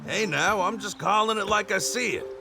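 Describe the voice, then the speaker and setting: A man's voice: high-pitched, moderate pace, gravelly, over loud music. A man playing an easygoing older rider in a game cutscene, plain-spoken, folksy and blunt. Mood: protesting.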